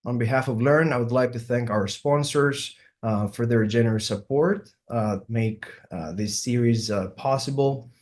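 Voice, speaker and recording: A middle-aged man from Greece with a Greek accent, a surgeon giving an online symposium talk and often pausing with ums and uhs. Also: medium pitch, a little mellow. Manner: hesitant, many ums and uhs. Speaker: plastic surgeon presenting.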